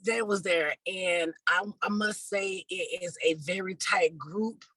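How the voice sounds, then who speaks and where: A woman with a husky voice answering interview questions as an activist and organizer, earnest and halting, often repeating words. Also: fairly low pitch, fairly even intonation, pushed and bright, no room sound.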